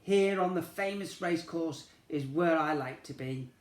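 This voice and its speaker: A man's voice: a little high, unhurried, reverberant, close to an everyday voice. A man voicing a restless, longing male rocking horse in a picture-book reading, with a sing-song, wishful delivery. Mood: wistful.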